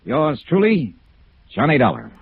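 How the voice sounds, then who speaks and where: A hard-boiled American investigator in a radio noir serial. His delivery is clipped and emphatic, with pitch that moves widely. It comes through a thin, old-time broadcast recording. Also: huge pitch swings, unhurried, vocal fry, faint music behind, full character voice. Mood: emphatic, inviting. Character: brisk, tough, steady male insurance investigator. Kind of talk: 1950s American radio noir acting and narration. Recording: narrow-band vintage radio recording.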